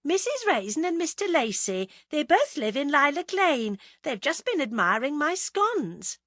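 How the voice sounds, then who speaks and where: A woman's voice: high voice, sing-song, measured pace, a bit bright, no room sound, clean studio sound, voice pushed up, exaggerated voice. A woman voicing a fragile, nervous, wistful village wife in an audiobook, in an emotional, fluttery manner. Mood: nervously placating. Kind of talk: audiobook character acting.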